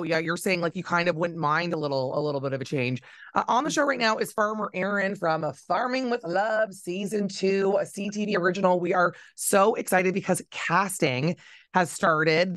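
A woman's voice: on the low side, a bit bright, no echo. A woman, a radio host chatting through an interview with lots of fillers and restarts, on a studio mic over a video call. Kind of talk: casual interview with games.

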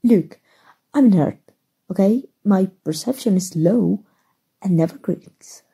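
A woman from Mexico playing a shy, flustered, nerdy gamer superheroine in an ASMR roleplay, soft and halting, with stammers. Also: mid-range voice, expressive, exaggerated voice. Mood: flustered, smitten. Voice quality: breathy, soft.

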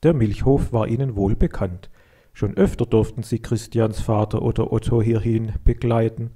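A man reading a children's story as narrator, in an expressive scripted audiobook style. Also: on the low side, normal speed.

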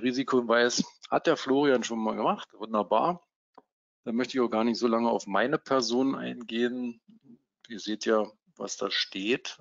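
A man in his fifties from Berlin, giving a casual live webinar as a trading software coach, with many fillers. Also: medium pitch, creaky, dull audio. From Germany (Berlin). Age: 50s. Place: home office.

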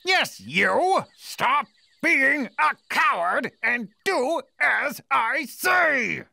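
A woman's voice: sits high, big pitch swings, extremely slow, vocal fry, bright, forceful tone, slight background noise, exaggerated voice. A woman voicing a bossy, suspicious male boss of a gang of thieves in a storybook cartoon, commanding and scornful.

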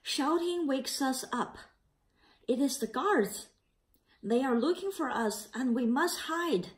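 A woman reading a children's picture book aloud in a careful, slightly halting way. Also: high voice, expressive. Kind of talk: read-aloud storytelling.

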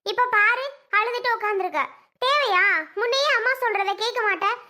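A woman's voice: very high voice, fairly quick, pushed and bright. A woman voicing an overprotective, nagging mother in a family drama, accusing and repeating herself. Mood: scolding.

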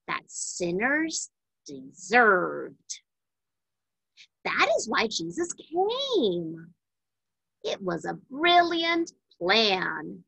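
A woman reading a children's Bible story aloud, with deliberate, emphatic phrasing for young listeners. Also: a little high, vocal fry, pushed and bright, slightly rough, dry recording, rough recording.

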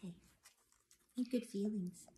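A woman's voice: mid-range voice, unhurried, mellow. A woman chatting casually and encouragingly with a child during storytime, with short reacting exclamations. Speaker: co-host listening to a read-aloud. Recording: noisy home room recording.

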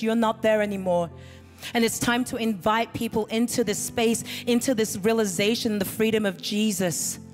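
Woman preaching in a reverberant church hall. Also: mid-range voice. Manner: earnest preaching, rising and falling with emphasis. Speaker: pastor. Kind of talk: sermon. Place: large church stage with band behind. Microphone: handheld mic.

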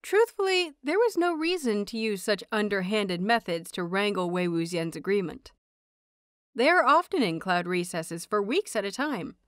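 A woman hosting and narrating audio fiction in an expressive audiobook style. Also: mid-range voice, moderate pace, clear, resonant tone, no room sound, clean studio sound. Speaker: host and narrator of an audio fanfiction show.

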